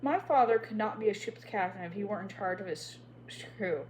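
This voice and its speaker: A prim young schoolteacher, as a woman reader puts her on, clipping each word crisply and firmly like an order. She keeps a mid-height voice, proper and stiff, with no warmth to spare. A faint steady hum sits under the storybook reading. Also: mid-range voice, a little fast, lightly acted, rough recording. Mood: stern. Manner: crisp, firm, commanding.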